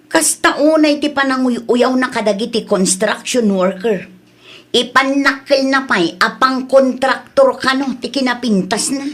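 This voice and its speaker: Woman acting plain-spoken Filipina villager, highish voice. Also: measured pace, fairly bright tone. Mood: disapproving. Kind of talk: Ilocano comedy audio drama acting.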